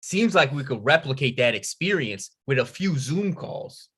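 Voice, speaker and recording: A man's voice: mid-range voice, animated, moderate pace, fairly bright tone, breathy edge. A man reading the part of a casual, wisecracking sidekick in a comedy table read. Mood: casual, wry. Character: laid-back, wisecracking male sidekick and driver.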